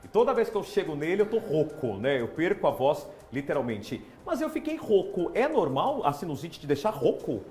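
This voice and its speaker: A hoarse man with a Brazilian Portuguese accent, hosting a TV health interview in a chatty, playful way in a studio. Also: on the high side, big pitch swings, normal speed, few pauses, a little mellow, faint music behind. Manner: chatty, asks questions with playful disbelief. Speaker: TV show host.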